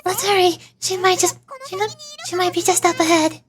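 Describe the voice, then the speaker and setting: A woman voicing an excitable, anxious little fairy companion from a video game, in an exclaiming manner. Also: extremely high pitch, moderate pace, slight background noise, voice pushed up. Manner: speaks of herself in third person, exclaiming.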